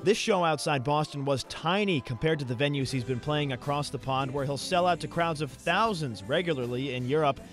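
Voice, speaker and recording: A man's voice: fairly high pitch, fairly quick, few pauses, a bit bright, faint music behind. A man narrating a TV entertainment segment in a scripted voice-over.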